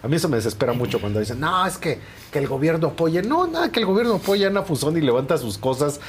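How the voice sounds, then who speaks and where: A man's voice: a little high, very animated, fast talker. A man with a Mexican accent talking casually as a guest in an interview. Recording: background noise.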